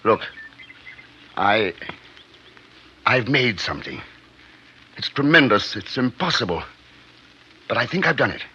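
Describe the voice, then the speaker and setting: A man playing a troubled surgeon in a 1950s radio drama, speaking in a halting, grave and uneasy way. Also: medium pitch, very animated, sharp tone, breathy edge, dull audio, exaggerated voice. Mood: anxious, urgent. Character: troubled surgeon confessing a secret experiment to a friend. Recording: noisy band-limited 1950s radio recording.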